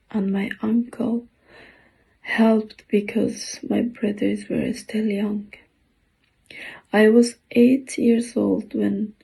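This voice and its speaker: A woman in her forties from Afghanistan, with an Afghan accent, reading her own poem slowly and haltingly, pausing between phrases. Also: mid-range voice, vocal fry, mellow, husky, rough recording. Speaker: poet.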